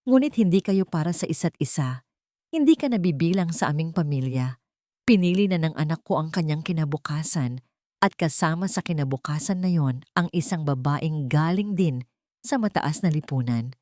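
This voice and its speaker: A woman narrator plays a haughty older matriarch of a wealthy family in a radio drama. The voice is on the low side and close and dry, with cold, contemptuous authority. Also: lively intonation, normal speed, a little mellow, no echo. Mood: cold scorn. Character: haughty rich older mother, scornful and domineering.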